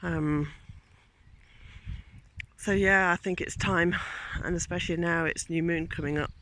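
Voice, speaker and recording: A man's voice: on the high side, steady tone, unhurried, pushed and bright, a little hiss or hum. A man, a teacher, musing in a rambling, reflective way on a casual walk outdoors in the countryside, filmed on a handheld phone. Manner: rambling, reflective, loses train of thought. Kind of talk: casual vlog monologue. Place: outdoors in countryside while walking.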